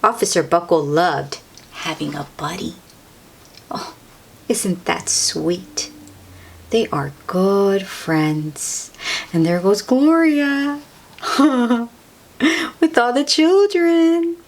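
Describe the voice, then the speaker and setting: A woman's voice: medium pitch, lively intonation, very slow, pauses often, slight background noise. A woman reading a children's picture book aloud, with exclamations and chatty asides to young listeners. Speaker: storybook read-aloud host.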